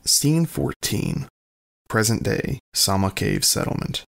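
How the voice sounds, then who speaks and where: A man's voice: lowish voice, expressive, takes it slowly, gravelly, fairly bright tone. A man reading scripted narration as the scene announcer of an audio drama.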